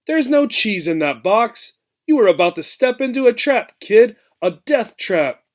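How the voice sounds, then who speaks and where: A man reading a children's story, voicing a gruff, huffy, protective elderly male mouse. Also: sits high, very animated, a little slow, pitched above the performer's own voice. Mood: indignant, gruff.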